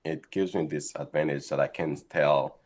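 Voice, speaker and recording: A man's voice: a bass voice, fairly even intonation, moderate pace, darkish tone. A man, a guest scientist explaining his work steadily in a casual interview over a video call. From Haiti. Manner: thoughtful, explains steadily with frequent ums.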